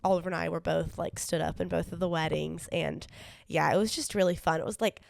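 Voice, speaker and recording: A woman's voice: lowish voice, fairly even intonation, fairly quick, creaky, no room sound, clean studio sound. Young woman with a stuffy cold. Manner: easygoing, laughs often, fillers. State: nasal from a cold. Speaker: podcast co-host. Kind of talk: casual chat.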